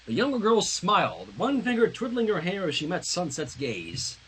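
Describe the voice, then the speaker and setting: A man reading fan fiction aloud on a livestream, mixing animated narration with casual asides. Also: high voice, normal speed, slight background noise, poor audio.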